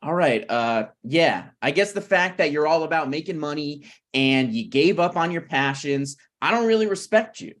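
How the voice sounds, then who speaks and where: A man's voice: on the high side, lively intonation, normal speed, hardly any pauses, fairly bright tone. A man playing a stubborn, snarky broke writer with dry, needling delivery in a comedy table read. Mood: argumentative.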